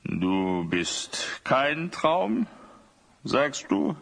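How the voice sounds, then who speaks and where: An actor playing a huge, lazy, grumbling giant in a children's audio drama, drawling sleepily. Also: male voice, mid-range voice, big pitch swings, very slow, creaky, a bit bright, full character voice. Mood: weary, puzzled.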